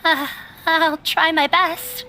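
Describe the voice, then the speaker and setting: Young girl, shy, hesitant, slow-paced, high, video game acting, music behind. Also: little pitch movement, sharp tone, heavily acted, rough recording. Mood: shy, earnest.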